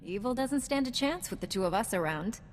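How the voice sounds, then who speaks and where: Actress as earnest young Jedi heroine, heavy reverb. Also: female voice, on the high side, lively intonation, fairly quick, natural voice. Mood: earnest, inquiring. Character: loyal, caring female Jedi knight, young adult hero. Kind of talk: scripted video game dialogue. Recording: long reverb, clear background noise.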